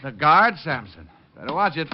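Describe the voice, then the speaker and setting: Hard-boiled male radio detective: sardonic, cocky, very animated delivery, dull vintage recording. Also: on the high side, moderate pace, a little hiss or hum. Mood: taunting. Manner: wisecracking, needling, sardonic. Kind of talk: 1940s radio noir acting, first-person narration.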